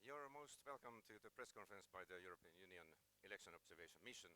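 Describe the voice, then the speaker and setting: A man with a Finnish accent speaking as a press officer, formally opening a press conference in a halting, procedural way. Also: sits low, lively intonation, bright, forceful tone, a little hiss or hum. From Finland.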